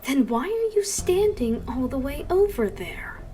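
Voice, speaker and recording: A woman playing a young female elf scientist, wistful yet sharp-tongued, in an expressive dramatic fan dub. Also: high voice, measured pace. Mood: irritated, vengeful. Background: background music.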